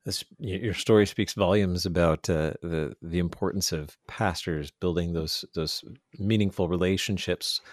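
A man's voice: low-pitched, fairly even intonation, moderate pace, few pauses, no echo, clean studio sound. An American man hosting a radio interview conversationally, repeating words as he frames his thoughts. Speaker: radio show host.

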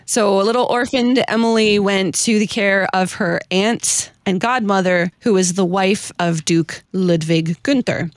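A woman's voice: medium pitch, measured pace, hardly any pauses, dry recording, studio quality. A woman hosting a podcast, telling a researched story in a chatty, enthusiastic way with self-deprecating asides. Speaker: podcast host. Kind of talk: casual, researched storytelling to co-hosts.

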